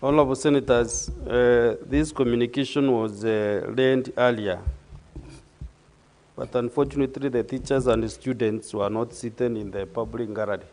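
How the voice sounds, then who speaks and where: A man presiding over a senate session, speaking in a measured, formal way with some hesitations. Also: mid-range voice, fairly even intonation, a little mellow, low-quality recording. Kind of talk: formal parliamentary proceedings. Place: legislative chamber.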